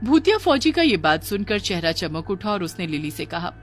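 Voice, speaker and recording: A woman's voice: lowish voice, very animated, moderate pace, faint music behind. A woman narrating a scripted children's ghost story in a dramatic storytelling manner.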